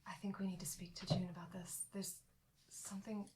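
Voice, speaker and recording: A woman voicing a weary, shaken woman adventurer in a tabletop game, speaking in a hushed half-whisper. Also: medium pitch, slow, slight background noise, lightly acted. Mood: hushed dread.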